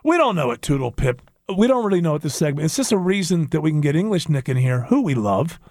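A man's voice: a little high, sing-song, quick pace, a little mellow. A man hosting a radio talk show, joking and giving strong opinions in casual chat.

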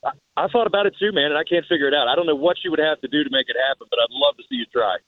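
A man's voice: on the high side, animated, very fast, no echo. A man calling in to a radio show over the phone, chatting and telling stories casually. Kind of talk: casual storytelling and chat. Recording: tinny dry phone line.

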